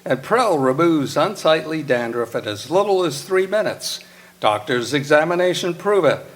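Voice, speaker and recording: A man's voice: fairly high pitch, animated, normal speed. A man announcing in old-time radio style, presenting a mystery and its sponsor.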